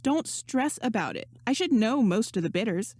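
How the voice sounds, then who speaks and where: A woman's voice: a little high, big pitch swings, moderate pace, darkish tone, no echo, studio quality. A woman voicing a confident, breezy young woman in a story, teasing and sure of herself. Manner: teasing, assured, sarcastic.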